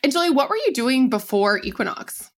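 A woman's voice: high voice, very animated, brisk. Woman, podcast co-host, effusive, enthusiastic, interviewer.

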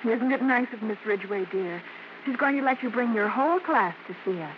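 An actress playing a gentle, soothing mother who works on the stage, in vintage radio drama style. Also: fairly high pitch, expressive, fairly quick, background noise, close to an everyday voice. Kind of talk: 1940s-50s radio drama acting. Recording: dull vintage radio recording with music.

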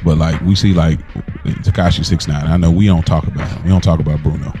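An American man co-hosting a casual podcast, talking in an animated, slangy way and repeating phrases for emphasis. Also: a bass voice, fast. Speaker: podcast co-host. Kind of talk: casual hip-hop talk podcast. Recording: music playing underneath. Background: music.

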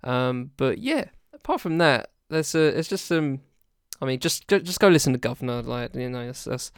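A man in casual podcast chat, circling around his point with halting restarts. His voice is smooth and resonant, and it sits on a dry, close mic with no room sound. The effect is relaxed and unscripted. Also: medium pitch, no room sound. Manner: rambling, hesitant, lots of restarts. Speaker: podcast co-host.

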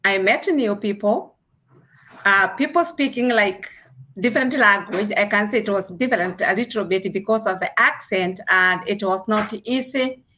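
A woman from Kenya with a Kenyan accent, a registered nurse answering interview questions earnestly, with measured pauses. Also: mid-range voice, sharp tone.

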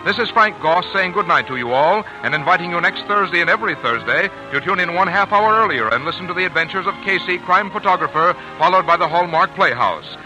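A man's voice: fairly high pitch, poor audio. A man working as a radio announcer, reading scripted 1940s commercial copy in a polished, earnest way. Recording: old radio broadcast, faint music behind.

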